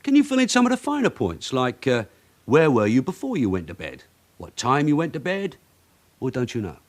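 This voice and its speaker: An actor playing a brisk, authoritative police detective sergeant in a radio drama, with a clipped, probing, commanding manner. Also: male voice, mid-range voice, wildly swooping pitch. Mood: probing, sardonic.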